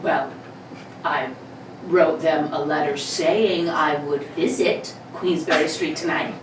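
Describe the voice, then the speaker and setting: A woman's voice: low-pitched, fairly even intonation, slow. A woman playing a cocky, politely menacing male armed robber in an old-time radio play, with mock-courteous theatrical delivery. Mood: boastful, gleeful. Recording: roomy, distant mics with faint noise.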